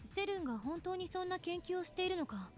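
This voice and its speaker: A woman playing a sharp-minded, sceptical young woman in a visual novel, questioning and curt. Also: very high-pitched, animated, very fast. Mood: insistent. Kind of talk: Japanese visual novel voice acting. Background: game music.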